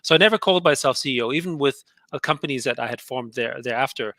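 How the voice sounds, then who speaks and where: A man's voice: medium pitch, fast talker, sharp tone, no room sound. A middle-aged man, originally from Austria with German as his first language, casually telling his story in an interview, chatty with frequent laughs and restarts. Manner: chatty, laughs often, many fillers and restarts. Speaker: guest entrepreneur.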